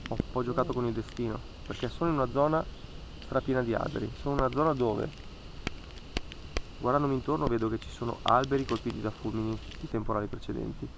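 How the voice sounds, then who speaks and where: Earnest Italian-speaking man, gravelly voice. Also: medium pitch, steady tone, fast talker, noisy background. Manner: earnest, cautionary, repeats words for emphasis. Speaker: fishing vlogger. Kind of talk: reflective voice-over narration. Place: outdoors by a lake among trees.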